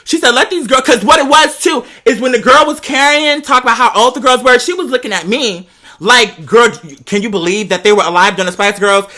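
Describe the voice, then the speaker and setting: A woman with a high, bright, forceful voice tells a personal anecdote. She is sassy and dramatic, full of slang and swearing, and addresses the listener as a girlfriend would. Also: a little fast. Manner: peppers talk with "girl" and swearing. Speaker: host telling personal anecdotes. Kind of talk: animated casual storytelling with slang.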